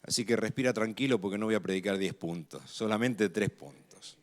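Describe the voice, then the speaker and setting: A man with an Argentine accent preaching a sermon in a measured, emphatic way, growing louder on key phrases. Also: lowish voice.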